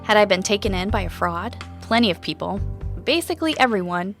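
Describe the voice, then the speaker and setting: A woman's voice: medium pitch, animated, fairly quick, lightly acted. An actress playing an earnest, doubting woman who hosts an investigative podcast, in a scripted audio drama. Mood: pensive. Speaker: podcast host. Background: music.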